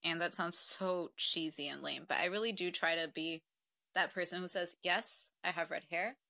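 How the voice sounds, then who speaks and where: A woman's voice: on the low side, fairly even intonation, moderate pace, gravelly, bright, forceful tone, no room sound, studio quality. A woman in her twenties from Mexico, a podcast guest talking earnestly and reflectively, with frequent ums. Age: 20s. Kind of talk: casual interview conversation.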